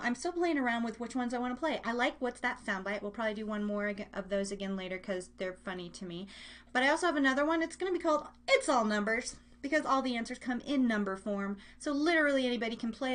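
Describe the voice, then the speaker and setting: A woman's voice: on the high side, fast, fairly bright tone. A woman hosting a live online radio show, chatting casually with listeners in a giggly, rambling, playful way, often repeating phrases.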